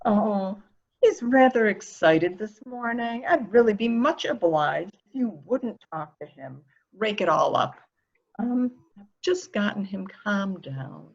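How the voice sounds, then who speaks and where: A woman's voice: medium pitch, animated, slow, vocal fry, highs cut off, heavily acted. A woman playing an evasive, protective woman in a murder mystery reading, defensive and primly indignant. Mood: uneasy, evasive. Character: evasive, protective woman guarding an excitable boy.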